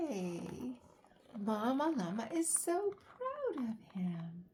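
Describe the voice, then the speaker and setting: A woman reading a bedtime story aloud to young children, soft and often breathy, adding chatty asides in an expressive way. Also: on the high side, slight background noise. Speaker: children's story reader, host.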